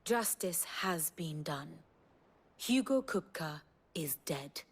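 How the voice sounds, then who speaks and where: Low-voiced, shrewd scholarly woman strategist. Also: expressive, husky, exaggerated voice. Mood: composed. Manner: measured, eloquent, theatrical delivery. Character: shrewd scholarly female strategist, composed and wry. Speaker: strategist and lorekeeper. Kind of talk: dramatic video game acting, lecturing. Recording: music behind the voice.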